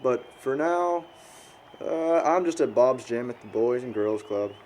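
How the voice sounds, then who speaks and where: A man's voice: medium pitch, lively intonation, measured pace, darkish tone, close to an everyday voice. A young American man acting a blunt, cynical, slangy wrestler in conversational film dialogue. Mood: casual. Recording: noticeable background ambient noise.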